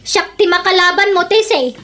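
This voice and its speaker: A woman's voice: very high-pitched, moderate pace, bright, forceful tone. A woman playing a quarrelsome, scolding village mother in a comedy radio drama, exclaiming and arguing with an Ilocano accent. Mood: agitated, shouting. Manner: nagging, exclamatory, argumentative.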